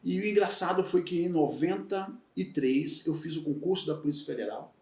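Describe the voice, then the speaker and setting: A man's voice: on the high side, moderate pace, a little mellow. A man in his fifties from Salvador with a Bahian accent, recounting his career as a guest on a podcast in a reflective, candid way. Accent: Bahian Brazilian Portuguese. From Salvador, Bahia, Brazil. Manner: reflective, candid storytelling with frequent restarts. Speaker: guest, retired federal police agent. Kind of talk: casual podcast interview. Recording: roomy echo, voice heard from a distance.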